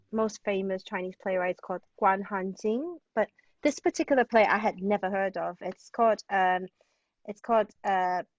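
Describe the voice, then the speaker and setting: A woman's voice: mid-range voice, no echo. Distant, muffled woman, enthusiastic and digressive. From Hong Kong. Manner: enthusiastic, digressive, peppered with "like" and "you know". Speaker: guest playwright. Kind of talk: casual literary discussion. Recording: distant, dull lo-fi sound.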